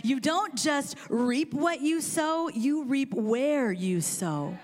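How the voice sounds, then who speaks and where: A woman pastor, born in India and raised in Kansas, with a Midwestern American accent, preaching a sermon with impassioned swells that rise almost to shouting. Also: high-pitched, lively intonation, slow pace. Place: church auditorium with live congregation.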